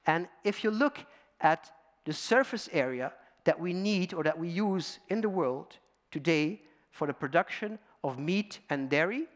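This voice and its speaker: A man's voice: on the high side, animated, a little slow. A middle-aged man from Belgium giving a storytelling conference talk in a large auditorium, addressing the audience with fillers. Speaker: guest speaker.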